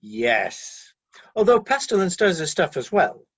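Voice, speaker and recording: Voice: mid-range voice, big pitch swings, unhurried, husky, heavily acted. An actor playing a loyal, defensive celestial middle manager in a satirical radio play, breezily making corporate excuses and sounding mildly flustered.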